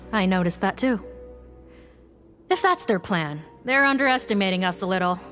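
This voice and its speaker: A brisk female guard with a mid-pitched, very animated voice and a blunt, confident edge, as a woman acts her out. Faint game music plays behind her. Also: lightly acted. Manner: blunt, confident, lively delivery. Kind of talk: video game voice acting.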